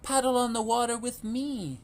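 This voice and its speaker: A man voicing a gentle mother duck who coaxes her ducklings in a sing-song way. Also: extremely high pitch, steady tone, moderate pace, voice pushed up. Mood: coaxing.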